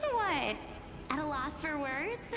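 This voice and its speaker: A woman acting a gentle, earnest young woman facing a fated sacrifice in a video game, with measured, delicate, formal delivery. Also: sits high, heavily acted. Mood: flustered, amused. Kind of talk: scripted game dialogue acting.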